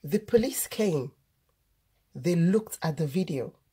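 A woman reading a children's storybook aloud, deliberately, word by word, as the host of a read-aloud. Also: on the low side, lively intonation, dark tone, breathy edge. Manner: deliberate, word-by-word delivery for early readers.

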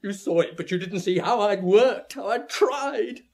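High, anguished ruined man. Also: measured pace, a little mellow. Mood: broken, bitter. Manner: anguished, emotional. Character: distraught, ruined family man. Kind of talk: old radio drama acting.

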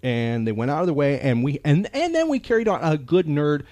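Male podcast host, American accent, lively swooping intonation, folksy, rambling, dry close recording. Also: on the high side, a little fast, no echo. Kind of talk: casual chatty podcast talk.

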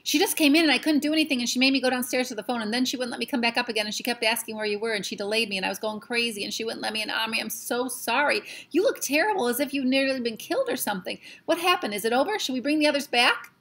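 A woman voicing an anxious, excitable young boy who babbles breathlessly in a storybook reading. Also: on the high side, extremely slow, few pauses, pitched above the performer's own voice, exaggerated voice. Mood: panicked.

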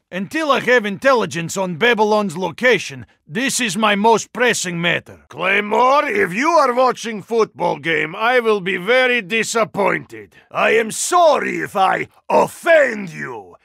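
Gravelly, earnest Russian giant. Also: male voice, high voice, big pitch swings, a little slow, hardly any pauses, sharp tone, dry recording, studio quality, heavily acted. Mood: earnest, insistent. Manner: formal, stilted phrasing with dropped articles. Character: huge Russian yeti super-agent, earnest, courteous, scientific-minded. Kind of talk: video game character voice lines.

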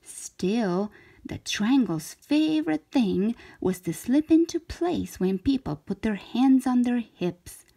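Slow, expressive woman reading a storybook. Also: fairly high pitch, creaky. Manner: deliberate, dramatic phrasing for young children. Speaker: children's storybook reader. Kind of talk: expressive scripted read-aloud narration.

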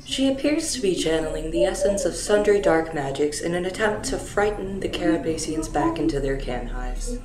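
Woman acting sarcastic alien woman, low pitch, deadpan, stilted formal wording, fan dub, roomy echo. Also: normal speed, hardly any pauses, natural voice. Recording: roomy echo, clear background noise.

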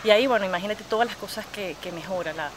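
A woman's voice: mid-range voice, quick pace, rough recording. A woman in her thirties from Chile with a Venezuelan Spanish accent, a yoga instructor talking animatedly with her hands and many restarts in an outdoor interview. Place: outdoors by a park lake. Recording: outdoor noise behind the voice.

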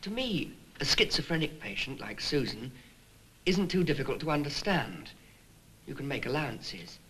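A man's voice: big pitch swings, normal speed, a bit bright, slight background noise, poor audio. An actor playing a thoughtful, mildly exasperated male nurse in a 1950s instructional film. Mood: puzzled. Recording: faintly rumbling 1950s film soundtrack.

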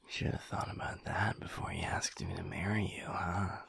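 A man playing a playful, cocky, doting werewolf lover in an intimate audio roleplay, with a breathy, gravelly whisper and a teasing, murmured delivery. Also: low-pitched, fairly even intonation, fairly bright tone, full character voice. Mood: teasing, affectionate. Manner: teasing, chuckling, slow and murmured.

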